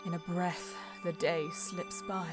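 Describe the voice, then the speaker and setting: A woman playing a solemn Eldar bonesinger who narrates her quest in a measured, poetic way in an audio drama. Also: on the low side, little pitch movement, close to an everyday voice. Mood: weary, determined. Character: female Eldar bonesinger, solemn, mystical, easily frustrated seeker. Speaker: narrator and lead character. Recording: music under the voice. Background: background music.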